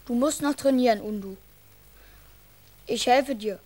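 Casting: a plain-spoken young boy, high voice, protective and slightly cheeky, radio play. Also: a little slow, darkish tone. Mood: urgent, helpful. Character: schoolboy, protective, patient and a little cheeky.

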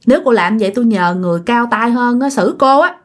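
Woman playing male spirit master, Southern Vietnamese accent, high-register voice, admonishing, coaxing, exasperated, ghost-story narration. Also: fast talker, natural voice.

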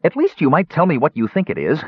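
A bouncy, childlike little male kender who chatters eagerly in high, darting tones, as a male narrator puts him on. The voice leaps all over the place, a bit husky, on a dry, dull recording. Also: huge pitch swings, quick pace, dry recording, heavily acted. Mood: eager, chattering. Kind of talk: audiobook character voice.

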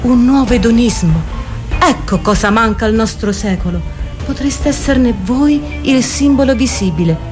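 A woman's voice: on the high side, normal speed, close to an everyday voice. A woman playing a worldly aesthete who urges youth and pleasure, in a declamatory, persuasive literary reading. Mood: urgent, exhorting. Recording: loud music behind the voice.

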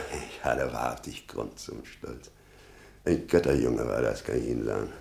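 An actor playing an embittered old father in a German radio drama, gravelly, muttering and brooding with sudden outbursts. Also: extremely low pitch, heavily acted. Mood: proud, mournful. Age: older. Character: embittered old father obsessed with his convicted son.

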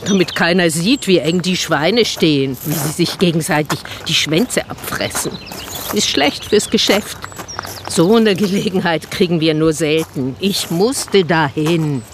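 A woman's voice: lowish voice, unhurried, noisy background, close to an everyday voice. A woman playing an earnest, defensive animal-rights activist in a naturalistic radio drama, speaking emphatically with a sardonic edge. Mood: indignant.

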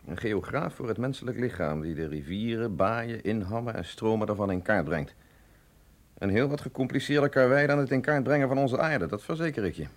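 A skeptical, philosophical physiologist in a radio play. The voice sits fairly low but moves in lively swings, eloquent, urbane and dryly ironic. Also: male voice, brisk. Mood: thoughtful, doubtful. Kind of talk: Dutch radio drama acting.

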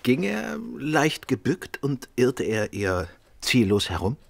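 An actor playing a calm, assured gentleman detective in a radio drama, speaking in a measured, polite, probing way. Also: medium pitch, vocal fry, full character voice.

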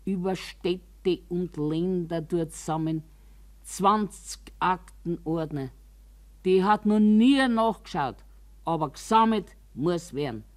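An older actress playing an embittered, quarrelsome and anxious old care-home resident in a radio monologue, with a Bavarian accent and a grumbling, emphatic, deliberate delivery. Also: female voice, fairly low pitch, a little mellow. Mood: indignant, recounting. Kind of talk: dramatic radio monologue in colloquial dialect.

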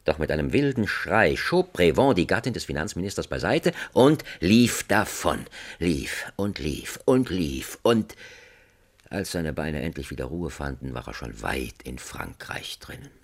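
A man narrating a radio drama in a dramatic storytelling style. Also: extremely low pitch.

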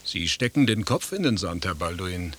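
A sardonic, grumbling husband in a radio conversation piece. His voice is deep and his diction formal and mocking. A faint hiss lies over the recording. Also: expressive, normal speed, a bit bright. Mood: irritated. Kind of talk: German radio play acting.

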